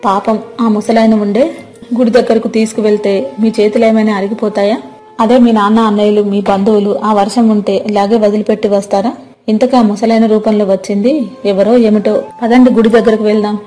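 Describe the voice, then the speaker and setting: A woman's voice: mid-range voice, little pitch movement, fairly quick, dark tone, faint music behind, lightly acted. A woman voicing a kind-hearted village wife who questions and reproaches her husband in a moral story. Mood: reproachful.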